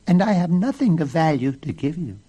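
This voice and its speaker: A man voicing a poor young woman turned queen, frightened and tearful, in a high pleading voice. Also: big pitch swings, a little fast, dark tone, husky. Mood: distressed.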